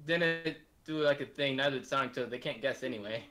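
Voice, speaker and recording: A woman's voice: very deep voice, brisk, fairly bright tone. A young woman chatting casually and joking on a quiz stream.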